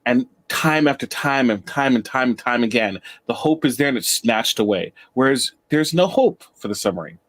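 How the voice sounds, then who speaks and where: A man's voice: medium pitch, lively intonation, moderate pace, hardly any pauses, fairly bright tone. Emphatic man arguing in a casual debate. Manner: emphatic, repetitive for effect. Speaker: debate show guest.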